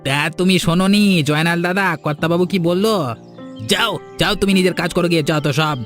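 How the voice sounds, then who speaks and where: An officious cartoon manservant, acted by a man, who tattles and bullies. He rattles along quickly in a slightly high-pitched voice, with music underneath. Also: a bit bright. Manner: scolding, officious. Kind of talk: Bengali fairy tale cartoon voice acting. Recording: music behind the voice.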